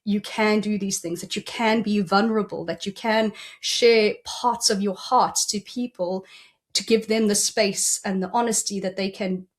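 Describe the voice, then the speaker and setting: A woman's voice: mid-range voice, steady tone, moderate pace. A woman with a South African accent, a business coach, answering interview questions in an earnest, reflective way with frequent ums.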